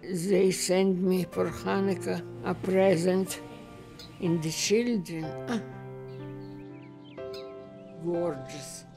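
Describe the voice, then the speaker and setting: A woman's voice: fairly low pitch, extremely slow, long pauses. A woman, speaking in simple broken English in a heartfelt personal interview. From former Soviet Union. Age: 80s. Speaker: elderly client of a family service. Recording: soft music underneath.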